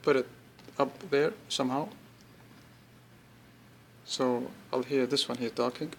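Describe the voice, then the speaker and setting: A man with a mid-pitched voice walks through an app in an informal, unscripted way. He builds his sentences haltingly, in short bursts. The homemade recording carries some faint noise. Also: steady tone, vocal fry. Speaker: app demonstrator. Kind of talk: informal, unscripted product demo.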